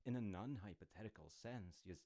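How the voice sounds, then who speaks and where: A man playing a sly secret agent in an intimate audio roleplay, musing and teasing with a falsely innocent air and thoughtful pauses. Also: lowish voice, lively intonation, mellow, no room sound, studio quality. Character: smooth, sly male secret agent and possible turncoat. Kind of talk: intimate audio roleplay, addressing the listener as partner.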